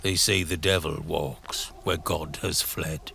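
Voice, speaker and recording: A man narrating a horror audio drama in a brooding, theatrical way, in short halting phrases. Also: a bass voice, fairly even intonation, gravelly, bright, forceful tone, slight background noise. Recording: music bed under the voice. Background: music.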